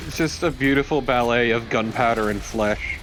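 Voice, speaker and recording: A man's voice: medium pitch, normal speed, a bit bright. Man casually joking over game music. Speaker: let's play co-commentator.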